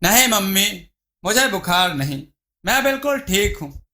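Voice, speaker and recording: An affectionate schoolgirl from a moral tale, voiced by a male narrator, coaxing her mother in a childlike way. The voice is high and bright, with an unhurried delivery. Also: very animated, full character voice. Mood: subdued.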